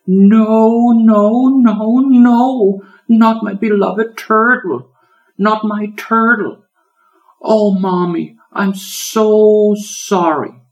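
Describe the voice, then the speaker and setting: Man voicing a high-pitched, insistent little girl. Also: fairly even intonation, very slow, darkish tone, lo-fi sound, exaggerated voice. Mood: screaming, distraught. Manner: exclaiming, insistent. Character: defiant, distraught little girl.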